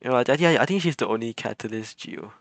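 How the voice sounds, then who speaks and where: A man's voice: medium pitch, lively intonation, fast talker. A man doing casual livestream game commentary, rambling and hesitant as he thinks aloud. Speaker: game streamer.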